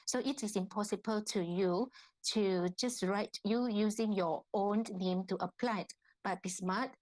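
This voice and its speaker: Woman, Malaysian accent, academic lecturer, mid-range pitch, halting delivery with repeats and rephrasing. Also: poor audio. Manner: halting, repeats and rephrases herself, tags with "right?". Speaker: academic researcher, guest lecturer. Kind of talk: online workshop lecture.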